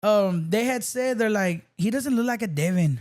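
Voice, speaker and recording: High-voiced joking man, laid-back. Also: normal speed, a little mellow. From South Texas. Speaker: guest DJ. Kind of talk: casual podcast chat.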